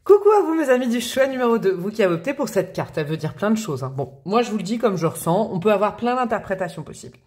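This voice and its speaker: A woman doing a casual, chatty tarot reading to camera, with expressive, playful emphasis. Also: medium pitch, quick pace, few pauses. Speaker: tarot reader.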